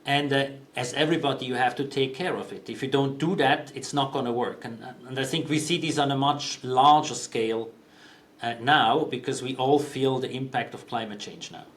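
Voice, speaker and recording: A man in his fifties, originally from Switzerland, answering interview questions thoughtfully, with frequent pauses and restarts. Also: medium pitch, steady tone, a bit bright. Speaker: guest, company CEO.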